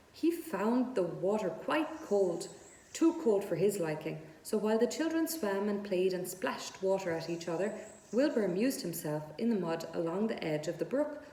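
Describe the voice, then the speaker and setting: A woman's voice: mid-range voice, mellow. A woman narrating a children's book aloud as a storyteller. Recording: echoey room.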